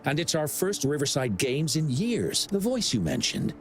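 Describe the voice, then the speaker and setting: A man's voice: a little high, very animated, moderate pace. An earnest young organizer who speaks politely. His mid-range voice moves up and down a lot. Game music plays behind him.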